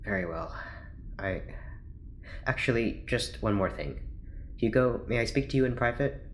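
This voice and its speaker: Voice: medium pitch, normal speed, slight background noise, natural voice. An actor playing an earnest, awkward male doctor in an audio drama, hesitant, polite and easily flustered. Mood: guarded.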